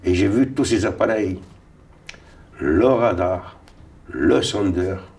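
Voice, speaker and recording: An older man from Tunisia, Sicilian by first language, tells his memories in a fairly low voice. He rambles, repeating words and starting over mid-sentence. He sits a little away from the microphone, so his voice sounds slightly distant. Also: takes it slowly, darkish tone. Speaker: interviewee. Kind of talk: recounting memories. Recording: voice a little far from mic.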